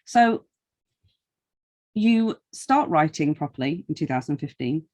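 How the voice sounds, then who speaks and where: Podcast host, British, mid-pitched voice, wry and informal interviewing style. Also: lively intonation, measured pace, darkish tone. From North East Lincolnshire, England. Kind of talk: casual conversational interview.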